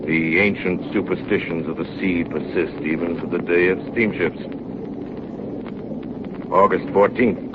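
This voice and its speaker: An actor playing a sober seafarer who narrates a polar voyage from his journal in measured 1930s radio style. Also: low-pitched. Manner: measured, grave storytelling. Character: seasoned, sober ship's engineer recounting a polar voyage. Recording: muffled, hissy 1930s radio recording.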